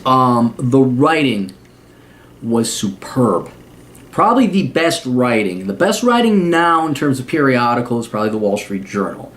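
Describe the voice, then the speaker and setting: A man's voice: mid-range voice, very animated, measured pace, fairly bright tone. A man in his forties with a Midwest accent, talking bluntly and sarcastically in a rambling monologue to camera. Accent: American Midwest. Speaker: vlogger.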